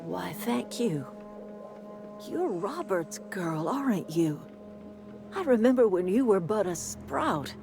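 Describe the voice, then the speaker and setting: A woman's voice: mid-range voice, sing-song, slow pace, a little mellow, soft background music. An actress playing a kindly, plain-spoken older woman who leads a garden commune in a video game, with a folksy, motherly manner. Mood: gentle, folksy. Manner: folksy, motherly, calls people dear. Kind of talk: video game character acting.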